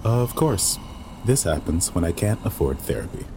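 Audio drama lead: self-doubting young waiter with powers, deep voice, very animated delivery, brooding, noisy ambience. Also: male voice, moderate pace. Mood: bitter, frustrated. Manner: brooding, rueful, hesitant with others. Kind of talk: audio drama acting with inner monologue.

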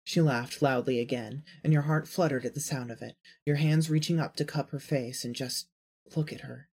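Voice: mid-range voice, fairly even intonation, normal speed, creaky, darkish tone, breathy edge. A narrator reading a scripted second-person story.

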